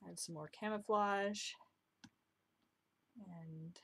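A woman's voice: mid-range voice, fairly even intonation, drawn-out words, vocal fry, fairly bright tone, husky. Young woman muttering while drawing. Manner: chatty, self-deprecating, muttering asides. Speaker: drawing vlogger. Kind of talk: casual commentary while drawing. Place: home room, indoors.

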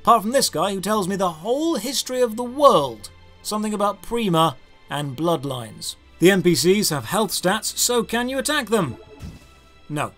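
A man's voice: high-pitched, sing-song, moderate pace, a bit bright, soft background music. A British man in his thirties narrating a scripted, critical video game review in an emphatic, sardonic manner. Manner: emphatic, rhetorical questions, sardonic.

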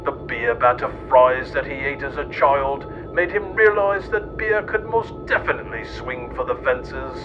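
A man's voice: lively intonation, moderate pace, few pauses, music in the background. A man reading a scripted mock-history story aloud in a theatrical, mock-solemn way. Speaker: narrator. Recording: dull lo-fi sound with loud rumbling noise.

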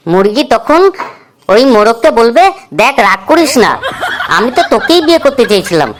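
A glib schoolboy from a comedy cartoon, acted by a woman. He speaks in a high voice and spins silly tales with earnest storytelling care. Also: sing-song, brisk, background noise.

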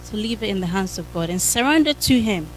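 A woman's voice: mid-range voice, expressive, brisk, slight background noise. A woman in her thirties preaching a sermon with a handheld mic in a church hall, fervent and punctuated by hallelujahs.

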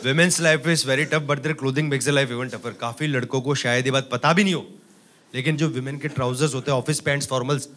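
A man in his thirties performing an animated stand-up comedy set on a club stage with a handheld mic, teasing the audience. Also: mid-range voice, moderate pace. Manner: animated, gestures a lot, teases the audience. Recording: loud crowd laughter and noise. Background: audience laughter.

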